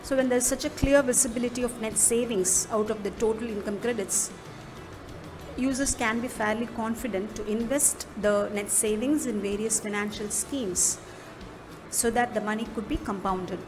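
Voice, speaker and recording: A woman with an Indian accent narrating an instructional software demo, explaining step by step in a measured manner. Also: on the high side. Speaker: product demo presenter. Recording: music behind the voice. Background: background music.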